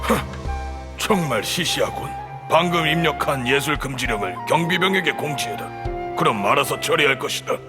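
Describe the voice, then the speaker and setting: Man acting as scornful official, commanding, dismissive, slightly high pitch, clear background music. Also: lively intonation, normal speed, lightly acted. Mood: bored disdain. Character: haughty, scornful official giving orders. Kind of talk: video game voice acting.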